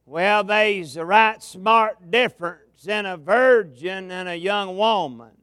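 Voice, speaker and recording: A man with a Southern US accent preaching a revival sermon in a folksy, drawn-out cadence, with some gravel in his voice, speaking into a pulpit mic in a large tabernacle. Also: sits high, fairly bright tone, no room sound, studio quality. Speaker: pastor, guest preacher. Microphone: amplified mic at a pulpit.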